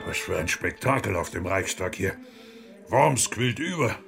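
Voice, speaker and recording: An actor in a German radio play, playing a boisterous, defiant monk reformer with a hearty, coarse, mocking manner. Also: male voice, deep voice, expressive, unhurried, vocal fry, over music, exaggerated voice.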